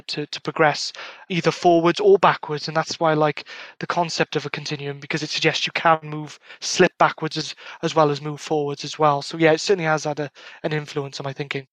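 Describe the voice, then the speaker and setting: A man's voice: fairly high pitch, fairly bright tone, no echo. A man being interviewed about his research as a former lecturer, speaking thoughtfully with frequent restarts and repeated words. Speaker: guest academic, former Welsh lecturer. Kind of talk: academic podcast interview.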